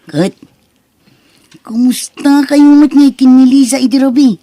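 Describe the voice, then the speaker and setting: Woman acting as cheeky young guy, high, mellow, teasing, casual, radio drama. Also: unhurried, dry recording.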